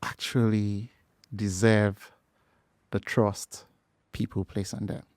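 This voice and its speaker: Low-voiced Nigerian man, thoughtful. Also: level tone, slow pace, darkish tone, no room sound, studio quality. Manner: thoughtful, hesitant, many fillers and restarts. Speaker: podcast guest, structural engineer. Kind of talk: conversational interview.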